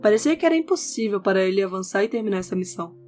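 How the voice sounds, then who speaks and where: Female narrator, scripted audio novel, mid-range pitch, guitar music bed behind. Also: expressive, rapid-fire, darkish tone. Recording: background music under the voice. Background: instrumental music with guitar.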